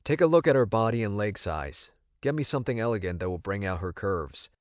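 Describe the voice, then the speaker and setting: A man's voice: fairly low pitch, expressive, a little fast, no room sound, lo-fi sound, lightly acted. A man voicing a bossy, wealthy male werewolf leader in an audiobook, commanding and insistent.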